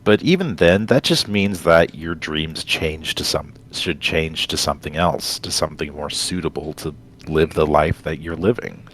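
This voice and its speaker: A man talking casually and reflectively as a co-host, rambling and halting as he works out his thoughts. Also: a bass voice, a bit bright, slight background noise. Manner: halting, rambling, thinks aloud. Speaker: podcast co-host.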